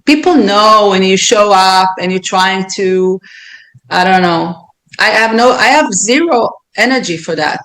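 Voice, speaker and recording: A woman's voice: mid-range voice, measured pace, fairly bright tone, rough recording. A woman with an Israeli accent speaking as a podcast guest, earnest and reflective, often restarting and repeating words as she thinks aloud. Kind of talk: reflective interview talk.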